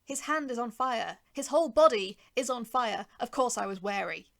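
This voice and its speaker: A woman narrating a first-person short story in an expressive, deliberate, dramatic manner with pauses for effect. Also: on the high side, creaky.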